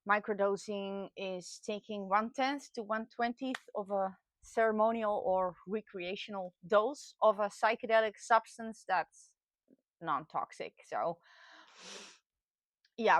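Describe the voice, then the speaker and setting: A woman in her late forties from the Netherlands with a Dutch accent, telling her own experience casually and candidly, often pausing to search for words. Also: medium pitch, fairly bright tone, dry recording. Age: late 40s. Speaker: vlogger sharing personal experience. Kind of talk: casual personal talk to camera.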